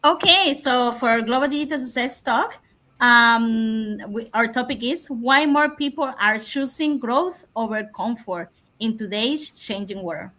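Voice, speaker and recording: Higher-voiced woman reading a list. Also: unhurried, sharp tone. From Cuba. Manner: reads points in a list. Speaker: nurse and panelist.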